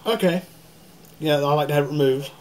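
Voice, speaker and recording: A man's voice: a little high, a little fast, crowd noise. A man. He speaks in an informal, conversational way, often stalling and doubling back on his words. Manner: informal, hesitant, repeats words. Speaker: YouTube channel host, caller to customer service. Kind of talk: casual phone call and commentary to viewers.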